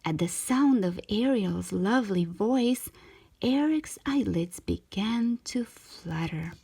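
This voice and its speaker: Female storybook narrator for young children, slow and lively, with a slightly breathy edge. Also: medium pitch. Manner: expressive, measured storytelling for children. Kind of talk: scripted storybook narration, read aloud.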